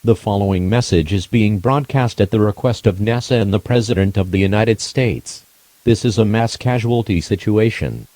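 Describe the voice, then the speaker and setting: A man reading a scripted emergency broadcast announcement in a formal, measured, impersonal way. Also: on the low side, dark tone, dry recording.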